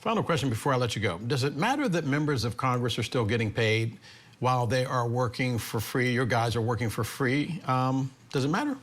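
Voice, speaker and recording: Direct male news interviewer, medium pitch. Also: animated, a little fast, fairly bright tone. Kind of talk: broadcast interview questioning.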